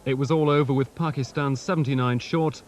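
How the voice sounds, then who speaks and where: A man's voice: medium pitch, fairly even intonation, a little fast. A man narrating a scripted sports news report over outdoor background noise. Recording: loud outdoor noise behind voice.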